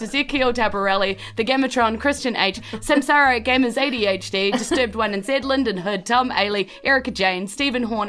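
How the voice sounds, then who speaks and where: Bright-voiced New Zealand woman hosting. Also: fairly high pitch. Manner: gushing, affectionate. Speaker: game master and podcast host. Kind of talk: live tabletop podcast hosting. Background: faint music.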